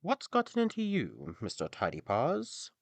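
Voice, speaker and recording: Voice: medium pitch, wildly swooping pitch, unhurried, no echo, pitched above the performer's own voice, heavily acted. A man voicing a friendly, encouraging old donkey in a fairy tale, with a hearty, persuasive manner.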